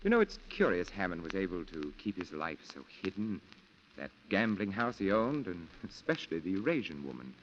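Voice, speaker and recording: An actor playing a measured, reassuring lawyer and family friend in a 1940s radio drama, formal and assured. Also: male voice, low-pitched, huge pitch swings. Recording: muffled, faintly noisy 1940s radio recording.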